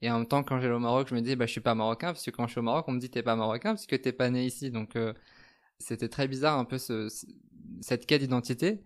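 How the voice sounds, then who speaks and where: A young man in his twenties from France, a podcast guest, speaking softly and hesitantly as he reflects on personal experience. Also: mid-range voice, fast. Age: 20s. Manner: soft-spoken, hesitant, thoughtful.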